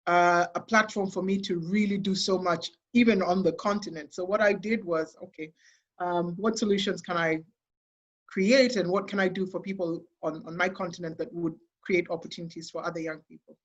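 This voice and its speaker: A woman's voice: fairly low pitch, steady tone, moderate pace, dull audio. A young adult Kenyan woman with a Kenyan accent, a guest talking enthusiastically in a casual interview, with frequent laughs and restarts. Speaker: guest, sports consultancy CEO.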